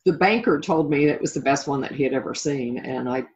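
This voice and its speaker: Husky low-fidelity middle-aged American woman. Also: a little fast, a little mellow, lo-fi sound. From southern United States (Florida). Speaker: interview guest, life coach. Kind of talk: reflective interview talk about own life.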